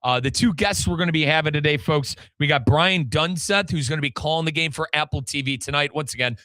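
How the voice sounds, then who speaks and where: Bright, punchy American sports radio man. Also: a little high, a little fast, dry recording. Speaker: sports radio show host and play-by-play announcer. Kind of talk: energetic sports radio hosting. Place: radio studio.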